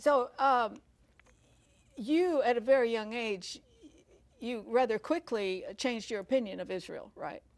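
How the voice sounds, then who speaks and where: An older woman hosts a television talk show and interviews her guest. Her voice sits fairly high, and she keeps the guest going with frequent affirmations. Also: a little slow.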